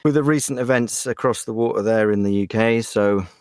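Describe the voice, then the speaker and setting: A man with an English accent chats as a podcast guest. His voice sits a little low, and he talks in a rambling, self-deprecating way with plenty of fillers. The sound is dry and close to the mic. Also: fairly quick, a little mellow, no echo. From Germany, raised in England. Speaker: voice actor guest. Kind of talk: podcast interview.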